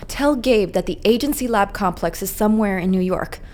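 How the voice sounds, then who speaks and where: A woman's voice: medium pitch, lively intonation, a little fast, a little mellow, natural voice. An actress playing a defiant, weary woman agent on the run from captivity in a video game cutscene. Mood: urgent, tired.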